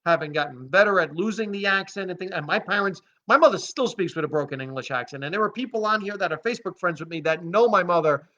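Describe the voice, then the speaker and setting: Bright, forceful American man, lo-fi audio. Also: high voice, lively intonation, fast talker, hardly any pauses. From United States, raised by Italian immigrants. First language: Italian. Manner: opinionated, emphatic, conversational. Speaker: host of a commentary vlog. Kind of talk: off-the-cuff news commentary. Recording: dull lo-fi audio.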